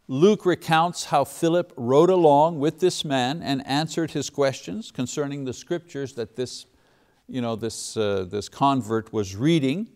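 A man giving a recorded Bible lesson, explaining the text in an unscripted way with fillers and restarts, reading scripture passages aloud and asking rhetorical questions. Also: a little high. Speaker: Bible teacher.

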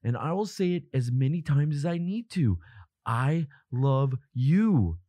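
A man plays a devoted boyfriend in an intimate roleplay drama. His voice is mellow and breathy, close and dry. He speaks slowly and haltingly, with tender, protective earnestness. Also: fairly high pitch, sing-song, dry recording. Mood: insistent, loving. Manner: halting, hushed, earnest reassurance. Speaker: audio roleplay voice actor.

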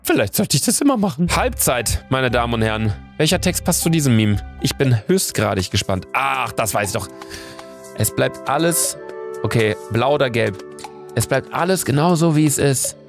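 A young man with a cold, excitedly playing a meme quiz on stream and reading the answers aloud, talking into a close studio mic. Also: mid-range voice, moderate pace, gravelly. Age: young adult. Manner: excitable, reads answers aloud, swears casually. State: has a cold. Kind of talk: casual gaming commentary. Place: indoor room at a desk. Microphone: studio mic near the face. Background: background music.

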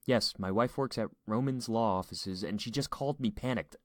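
A man's voice: on the low side, moderate pace, dry recording, clean studio sound, voice pushed up, close to an everyday voice. A man voicing a tender, protective father and husband in an audiobook story, earnest and anxious. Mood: panicked. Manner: earnest, emphatic.

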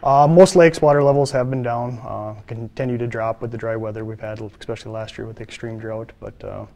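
A man's voice: medium pitch, steady tone, a little fast, creaky, dark tone. Male biologist in his thirties, ordinary mid-range voice, plain studio answers full of uhs. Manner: plain, matter-of-fact, with many filler uhs. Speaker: fisheries biologist. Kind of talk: interview answers. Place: studio, seated.

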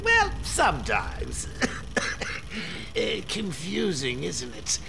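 An actor voicing a manic, sadistic clown supervillain in a video game, with a theatrical, mocking showman's delivery and a gravelly, cackling voice. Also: fairly high pitch, wildly swooping pitch, very slow, noisy background, heavily acted. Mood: sly.

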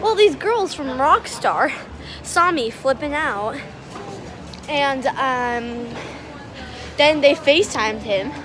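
A teenage girl vlogging on a phone held at arm's length in a busy restaurant, gushing and giggly as she talks fast and excitedly. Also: high voice, background noise. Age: teens. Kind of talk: excited casual vlog chat. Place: indoor restaurant at a competition venue.

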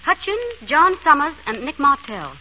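High, animated, wry detective's wife. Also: female voice. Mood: wry, pointed. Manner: brisk, wry banter. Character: sharp, teasing, devoted wife of amateur sleuth. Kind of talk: 1930s radio drama acting. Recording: scratchy, dull old radio broadcast.

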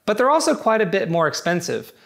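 A man's voice: high-pitched, big pitch swings, fast talker, gravelly, a bit bright. A man giving a scripted, matter-of-fact review of home gym barbells. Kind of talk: scripted product review narration.

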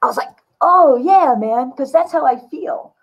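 A woman in her sixties chatting casually and expressively on a video call, with a slightly husky voice. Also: sits high, a little slow. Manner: expressive, exclaiming. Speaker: guest artist. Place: small indoor studio room with bookshelves.

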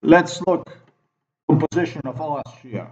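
Slow-talking Slovenian-accented man, dull recording. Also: a little high, lively intonation, darkish tone. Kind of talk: unscripted monologue commentary over screen recording. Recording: dull lo-fi microphone sound.

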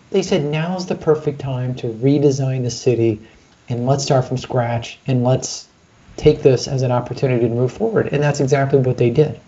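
A man's voice: mid-range voice, dark tone. A man from the Chicago area chatting on a panel over a video call, talking in a thoughtful, rambling way. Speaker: podcast host. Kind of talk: casual panel discussion. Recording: dull lo-fi video call audio.

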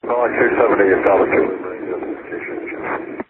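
A man's voice: low-pitched, fairly even intonation, fast. A man speaking as a pilot to air traffic control over an aviation radio. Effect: aviation radio transmission. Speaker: airline or private jet pilot. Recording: very noisy narrowband cockpit radio transmission.

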